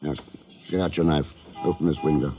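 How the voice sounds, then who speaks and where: A man's voice: a bass voice, fairly even intonation, a little fast, faint music behind. A man acting a cool, commanding investigator in a 1950s radio drama, terse, dry and impatient. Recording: muffled, noisy old radio recording, clicks.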